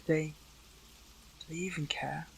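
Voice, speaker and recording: A man playing a weakened captive young superhero in an intimate audio roleplay, hurt but earnest, speaking haltingly with emotion. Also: a little high, fairly even intonation, gravelly, heavy background noise. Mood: weary, wary.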